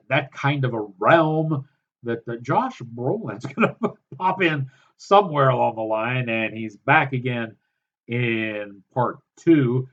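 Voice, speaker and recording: A man reviewing a film in a sardonic, halting way, with many fillers. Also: medium pitch, highs cut off. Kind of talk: casual film review commentary.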